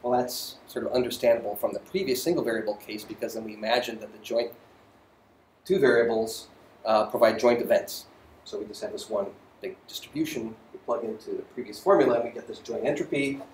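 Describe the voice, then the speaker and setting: A man's voice: on the low side, fairly quick, darkish tone, slight background noise, low-quality recording. A middle-aged man giving a physics lecture as a voiceover to slides, thoughtful and full of ums and restarts.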